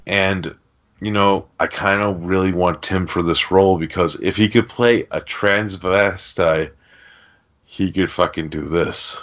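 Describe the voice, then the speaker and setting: A low-voiced man talks casually as a podcast host. He rambles and swears freely, and the recording is dull and lo-fi. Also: fairly even intonation, a little slow, a bit bright. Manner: rambling, profane. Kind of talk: casual film discussion. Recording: dull, lo-fi audio.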